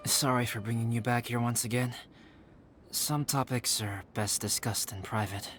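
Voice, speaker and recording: A composed young alchemist from a video game, played by a man, cerebral and slightly mysterious. He speaks politely in measured phrases at a middling pitch, with music clearly behind him. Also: fairly even intonation, fairly bright tone, close to an everyday voice. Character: calm, cerebral, enigmatic young male alchemist.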